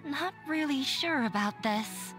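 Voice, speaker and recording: A woman's voice: high-pitched, lively intonation, slow pace, over music. A woman playing a shy, anxious, hesitant young woman in a video game. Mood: nervous, unsure. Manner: hesitant, faltering.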